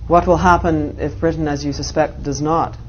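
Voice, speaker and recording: A woman's voice: low voice, steady tone, normal speed, a little hiss or hum. A woman interviewer putting direct, probing questions in a formal news interview. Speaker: interviewer, journalist. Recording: dull archive interview audio.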